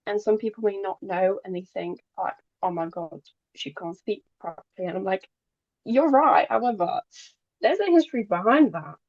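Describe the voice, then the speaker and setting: Podcast guest: young woman, Polish native speaker, casual and halting delivery, mid pitch, dry recording. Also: lively intonation, darkish tone, no echo, lo-fi sound. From Poland, moved to England at ten. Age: young adult. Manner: halting, occasional stumbles over words. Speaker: podcast guest, pageant queen. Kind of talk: casual interview chat.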